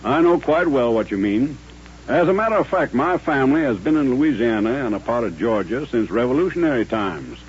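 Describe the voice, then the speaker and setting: A man plays a dignified colonel of middle age in an old radio drama. He speaks formally and courteously, at a middling pitch. The recording is muffled, with a faint hum. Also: expressive, brisk, natural voice. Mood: grave, dignified. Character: courtly, dignified older Southern colonel turned rancher.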